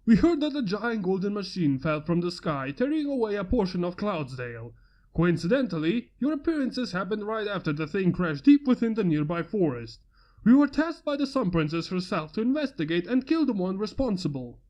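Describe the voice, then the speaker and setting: A woman's voice: medium pitch, very animated, fairly quick, mellow, natural voice. A woman voicing a grandiose male pony knight who proclaims his lines in old-fashioned, overblown speech. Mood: pompous. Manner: archaic dramatic proclaiming.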